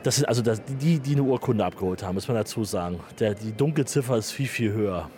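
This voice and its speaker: A man's voice: on the low side, fast talker, a little mellow, a little hiss or hum. A man hosting a casual radio interview outdoors on a city square, chatty and joking, with plenty of fillers. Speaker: radio host.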